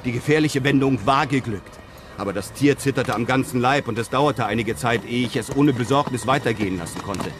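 A man playing a brave, composed European traveller who narrates his desert adventure in measured, literary sentences. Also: mid-range voice, close to an everyday voice. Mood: composed, suspenseful. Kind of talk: scripted first-person radio drama narration.

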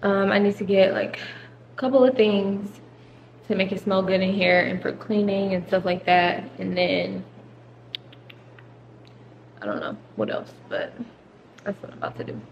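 A woman's voice: medium pitch, animated, a little slow, creaky, long pauses, highs cut off, background noise. A young woman in her twenties chatting casually and playfully in her apartment kitchen as she films a vlog on a handheld phone. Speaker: vlogger.

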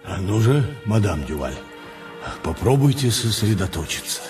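An insistent police sergeant in a radio drama. His low voice sits back from the microphone, with clear music behind it. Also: very animated, normal speed. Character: insistent male police sergeant questioning a patient. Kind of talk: radio play acting. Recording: distant from the microphone.

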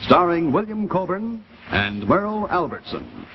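A man's voice: a little high, wildly swooping pitch, measured pace, gravelly, music in the background. An American man announcing on 1950s television with a polished sales delivery. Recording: dull 1950s TV kinescope, faint noise.

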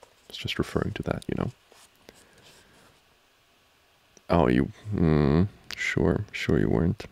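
A man playing an amorous, giggly student boyfriend in an intimate ASMR roleplay, talking haltingly and teasingly close to the mic. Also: a bass voice, big pitch swings, creaky, exaggerated voice. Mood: flustered, amused. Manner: halting, soft-spoken, chuckling, teasing. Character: amorous, giggly young male student boyfriend trying to study. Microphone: close microphone, dry.

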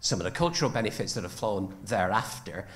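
A man's voice: lowish voice, animated, fairly quick. An older man from the north of England speaking from the audience seats of a lecture theatre on a handheld mic, rambling with many restarts. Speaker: audience member. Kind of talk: audience question. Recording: echoey lecture hall.

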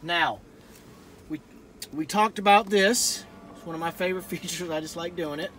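Middle-aged man, high-pitched, informal car-walkaround presenter, recorded on camera in a truck cab with some background noise. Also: normal speed, fairly bright tone. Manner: folksy, informal. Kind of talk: casual vehicle walkaround. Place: inside a pickup truck cab in a parking lot. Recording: camera audio with cabin noise.

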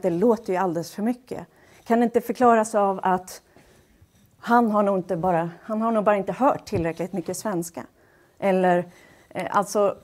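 A woman giving an explanatory public lecture in a measured, pausing and somewhat hesitant way. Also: medium pitch, a little mellow. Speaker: lecturer, speech-language pathologist. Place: wood-panelled lecture hall, indoors. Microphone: headset.

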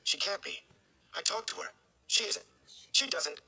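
A man's voice: high voice, lively intonation, unhurried, gravelly, bright, forceful tone, voice pushed up, exaggerated voice. A man voicing an anxious, desperate young village man with an eager, pleading manner in a storybook reading. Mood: disbelieving.

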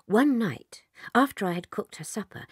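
A gentle young British schoolteacher from a children's audiobook drama. Her voice is breathy and expressive, careful and a little timid, in a dry studio sound. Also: mid-range voice, moderate pace, no room sound. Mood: resigned.

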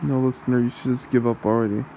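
A man voicing a possessive, taunting demon husband in an ASMR roleplay, with a mocking manner and a gravelly voice. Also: mid-range voice, little pitch movement, quick pace, dark tone, slight background noise, natural voice, poor audio.

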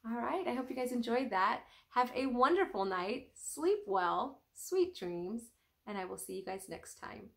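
A young woman reading a children's storybook aloud in an expressive, teacherly way with careful pauses. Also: a little high, creaky. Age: young adult.

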